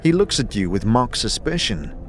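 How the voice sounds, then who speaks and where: A man playing a calm, grave wanderer and narrating in a measured, brooding way in a fantasy audio drama. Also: medium pitch, natural voice. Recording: long reverb, music underneath.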